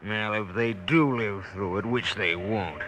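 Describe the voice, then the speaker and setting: An actor playing a cold, gloating American outlaw in an old Western radio drama. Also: male voice, lowish voice, a little slow, a bit bright. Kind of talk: 1950s Western radio acting. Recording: noisy old radio recording.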